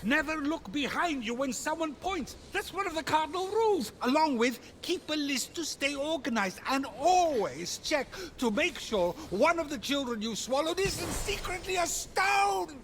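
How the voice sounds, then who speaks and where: An actor playing an excitable, vain and comical god who comments on the story in a video game. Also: male voice, extremely high pitch, moderate pace, few pauses, a little hiss or hum. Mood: comic dismay.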